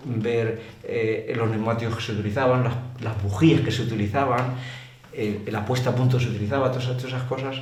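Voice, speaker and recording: A man's voice: medium pitch, steady tone, fast talker, darkish tone. Older Spanish man telling stories, roomy echo. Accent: Spanish from Spain. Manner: chatty, colloquial, exclamatory. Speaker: former motorcycle racer, interview guest. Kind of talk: casual anecdotal storytelling. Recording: roomy echo with clear background noise.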